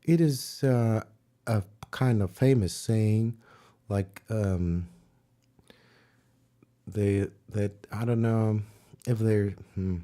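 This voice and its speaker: Slow-talking German man teaching piano. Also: on the low side. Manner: relaxed, searching for words, sings and counts along. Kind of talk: livestream music lesson. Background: piano playing.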